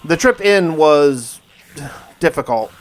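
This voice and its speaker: A man's voice: a little high, animated, slow pace. A man hosting a gaming video, telling a dramatic first-person story of his play in a theatrical, in-character way. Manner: theatrical, in-character roleplay delivery with repeated phrases.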